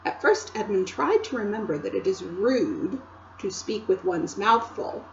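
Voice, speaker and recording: A woman teacher reads a chapter book aloud in a slow, unhurried way. Her voice rises and falls a great deal as she tells the story, adding chatty asides to her young listeners. The recording is dull and lo-fi, with a faint hum behind her. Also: mid-range voice, lively intonation. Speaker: teacher reading to children.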